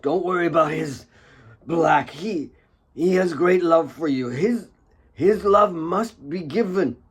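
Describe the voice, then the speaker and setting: A man voicing a dying old father, frail and loving, with thin raspy breath and halting, broken speech. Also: on the high side, voice pushed up. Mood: weak, tender.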